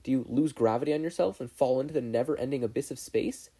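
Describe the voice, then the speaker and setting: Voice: mid-range voice, fast talker, warm tone, slightly rough, voice pushed up. A reader voicing a nervous, earnest teenage boy inventor with an eager, apologetic manner in a fan fiction audiobook. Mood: curious.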